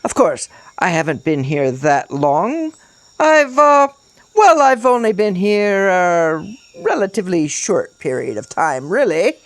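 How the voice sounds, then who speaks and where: A woman's voice: lowish voice, big pitch swings, slow, no room sound, voice pushed up. A woman voicing an evasive, bookish male stranger on an island, hesitant and vague as he rambles.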